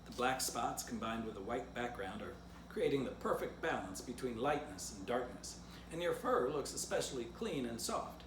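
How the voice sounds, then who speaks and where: A man voicing a patient, tactful male dog leader who flatters gently in a children's storybook. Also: mid-range voice, normal speed, roomy sound, distant mic, noisy background, close to an everyday voice. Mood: soothing, flattering.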